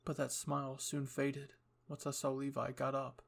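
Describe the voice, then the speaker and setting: A man's voice: medium pitch, monotone, moderate pace, vocal fry, breathy edge. A young man reading scripted material as the narrator and host of a story channel.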